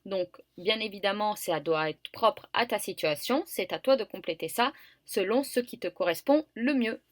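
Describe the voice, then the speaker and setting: A woman's voice: mid-range voice, normal speed, no room sound, clean studio sound. A young woman patiently walking viewers through an online admission form, with frequent hesitations. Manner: patient and explanatory, with frequent fillers. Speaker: student guide for university admission form. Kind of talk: screen-recorded tutorial walkthrough.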